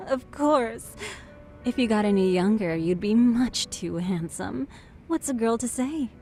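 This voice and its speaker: A woman voicing a playful, flattering, worldly barroom confidante in a video game English dub, coquettish and teasing. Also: a little high, slow, soft background music. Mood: flirty teasing.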